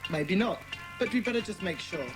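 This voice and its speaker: A woman's voice: medium pitch, expressive, fairly quick, over music, natural voice, low-quality recording. A woman playing an earnest, decisive boy who leads child detectives in a dramatised children's audiobook. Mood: brisk, commanding. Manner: decisive, instructing.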